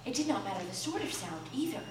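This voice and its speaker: A woman reading a literary story aloud on stage in a theatrical, deliberate way, breaking it into measured phrases. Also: medium pitch, big pitch swings. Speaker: live stage reader in an ensemble. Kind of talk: dramatic literary story reading, scripted narration. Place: live theatre stage, recorded from a distance. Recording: roomy, distant live theatre recording with noise.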